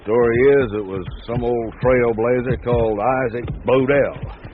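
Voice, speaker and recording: An actor playing an easygoing, laconic ranch hand with a folksy drawl from the American West, in a radio drama. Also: male voice, medium pitch, fairly even intonation, unhurried, faint music behind, natural voice. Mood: folksy storytelling. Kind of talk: naturalistic radio drama acting. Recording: tinny narrow-band radio sound, background noise.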